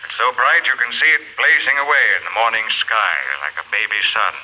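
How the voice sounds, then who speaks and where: A man's voice: mid-range voice, expressive, fairly quick, close to an everyday voice. Actor as a folksy radio newsman, muffled. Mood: sleepy, folksy. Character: sleepy, folksy radio newsman urging calm during disaster. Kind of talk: 1950s radio news bulletin acting. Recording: noisy lo-fi 1950s radio recording.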